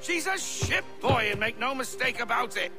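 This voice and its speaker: A man's voice: extremely high pitch, lively intonation, moderate pace. An actor playing a cantankerous old sailor in a video game, theatrical and salty, full of seafaring talk and devotion to his ship. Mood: indignant. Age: older. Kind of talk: video game cutscene acting. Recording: music behind the voice. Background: music.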